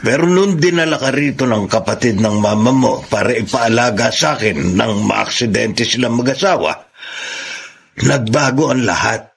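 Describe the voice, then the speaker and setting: A man playing a sincere, tender older uncle in a radio drama, with a Filipino accent and a husky, creaky voice. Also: medium pitch, moderate pace. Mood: earnest, moved.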